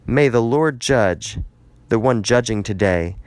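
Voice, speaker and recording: A man's voice: mid-range voice, animated, measured pace, dry recording, lightly acted. A man voicing a stern, solemn Israelite leader in a scripture reading, with emphatic, declamatory phrasing. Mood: indignant, challenging. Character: stern male Israelite leader arguing his case, solemn.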